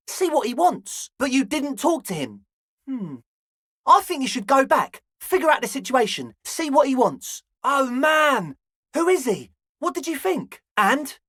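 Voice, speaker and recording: A man's voice: extremely high pitch, a bit bright, no room sound, studio quality, full character voice. A male actor playing an eager, earnest young raver in a video game, mixing slacker slang with grandiose, slightly pompous phrasing. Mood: explaining. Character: balding twenty-year-old raver dreamer, eager, earnest, slightly pompous. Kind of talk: video game character dialogue.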